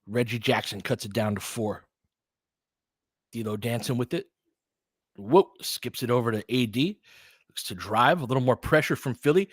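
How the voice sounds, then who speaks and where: A man's voice: medium pitch, fairly bright tone, breathy edge, no echo. Informal man calling basketball, close dry sound. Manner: partisan, urging on the home team. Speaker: play-by-play commentator. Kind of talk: informal live basketball commentary.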